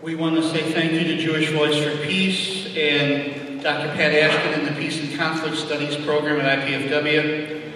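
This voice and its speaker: A man's voice: on the high side, little pitch movement, moderate pace. A man giving formal closing thanks as the organiser of a public event. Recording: echoing hall, distant, faint noise.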